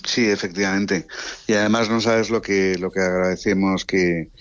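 An older man from Spain, a guest in a radio interview, talking in an earnest, halting way with many restarts. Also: deep voice, little pitch movement, highs cut off. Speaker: guest, brother of a late singer.

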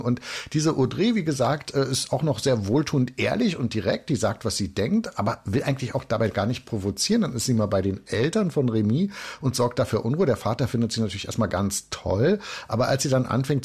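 A man gives a conversational film review, retelling scenes with relish. His pitch is medium and moves widely, and the voice has a husky grain. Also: darkish tone. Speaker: film critic.

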